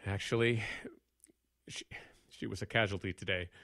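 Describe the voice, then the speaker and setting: An actor playing an earnest, shaken park ranger in an audio drama, speaking haltingly and stammering as he pleads. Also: fairly low pitch, steady tone, creaky, pushed and bright. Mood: urgent pleading. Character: earnest, shaken male park ranger, hesitant under pressure.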